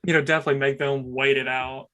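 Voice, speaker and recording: A young male podcast host with a monotone, mid-pitched voice. He rambles casually and leans on fillers as he asks his guest questions. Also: quick pace. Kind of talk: casual conversational interview.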